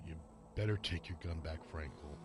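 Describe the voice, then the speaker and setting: A remorseful, subdued man in a radio drama. His voice sits deep and resigned, with loud noise all around it. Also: normal speed. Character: subdued remorseful man ready to surrender to police. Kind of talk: modern radio drama acting.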